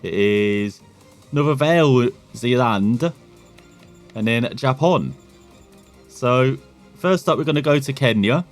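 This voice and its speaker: English gaming host, male, mid-pitched; chatty, self-mocking, rambling. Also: lively intonation, slow, long pauses, faint music behind. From England. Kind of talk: casual gameplay commentary.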